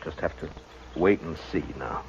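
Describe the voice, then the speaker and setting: Low-voiced, weary American western marshal. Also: male voice, normal speed. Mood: gentle, tired. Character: stern, weary U.S. marshal of the Old West, protective. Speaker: lead actor and first-person narrator. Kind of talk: 1950s American radio western acting. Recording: muffled old radio recording, heavy noise.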